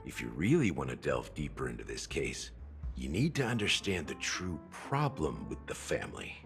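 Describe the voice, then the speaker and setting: An actor playing a suave, sly investigating officer in a video game, theatrical and knowing, fond of insinuating questions. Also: male voice, rumbling low, sing-song, normal speed, creaky, over music, full character voice. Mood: knowing, probing. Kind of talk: video game voice acting, expository dialogue.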